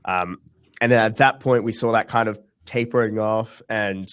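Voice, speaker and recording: A man's voice: lowish voice, steady tone, moderate pace, a bit bright, clear, resonant tone, dry recording, clean studio sound. A young man in a webinar interview, talking casually with lots of ums and restarts. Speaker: guest founder.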